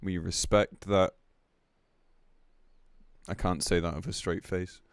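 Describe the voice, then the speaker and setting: A man with a fairly low voice talks as a live streamer. His delivery is casual and blunt, with an exasperated edge and loose swearing. The sound is close and dry, with very little room in it. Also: steady tone, slow pace, clean tone, no echo. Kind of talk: casual live-stream commentary with read-aloud announcement.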